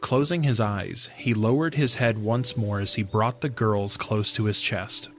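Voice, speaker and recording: Casting: male narrator for a fantasy audio drama, low voice, scripted reading, faint music behind. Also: a little slow.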